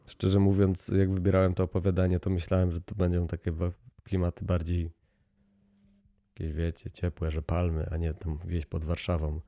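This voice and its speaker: Low, mellow male storyteller. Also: level tone, moderate pace. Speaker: podcast host reading horror stories. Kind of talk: dramatic story narration with casual commentary.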